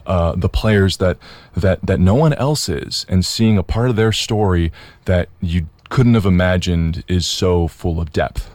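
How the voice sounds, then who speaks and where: Eager low-voiced man with stammered starts. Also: darkish tone, no room sound. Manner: enthusiastic, repeats words as he starts. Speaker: theater company member guest. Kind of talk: casual interview talk.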